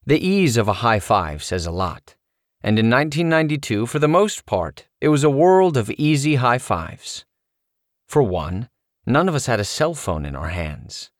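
A middle-aged man narrating his own memoir as an audiobook, telling it in an animated, expressive way. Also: mid-range voice, no room sound, clean studio sound.

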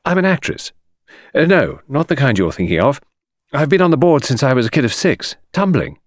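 A man's voice: medium pitch, sing-song, a little fast, no echo, clean studio sound, pitched above the performer's own voice. A man putting on a cheeky teenage actress. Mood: chatty. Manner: chattering, teasing, theatrical. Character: impudent cheeky young woman, teenage actress.

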